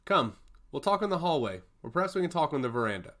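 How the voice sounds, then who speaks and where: A man's voice: a little high, sing-song, quick pace, fairly bright tone, voice pushed up. Man voicing a solemn, earnest goddess of law. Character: solemn, curious goddess of law, weary judge of souls.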